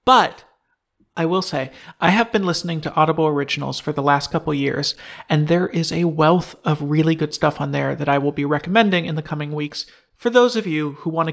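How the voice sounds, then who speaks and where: A man's voice: a little high, fairly quick, creaky. A man giving a casual, enthusiastic podcast announcement as the show's co-creator.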